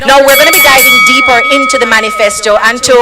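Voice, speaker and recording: A woman with a Jamaican accent, working as the emcee at a political rally, making formal, rousing introductions that build to shouts. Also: high voice, steady tone, fast, very noisy. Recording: live rally recording with a loud crowd. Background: cheering crowd.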